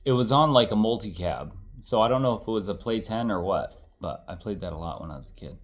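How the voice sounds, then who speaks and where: A man's voice: fairly low pitch, animated, fast. A man in his thirties, congested and tired, chatting casually and rambling as he shows off his old game collection, correcting himself and swearing now and then.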